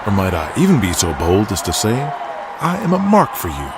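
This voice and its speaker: A man voicing a cocky, smirking male wrestler in an audio drama, laying on grandiose, drawn-out flattery. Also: lowish voice, crowd noise, noisy background. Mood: smug flattery. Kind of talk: audio drama character acting.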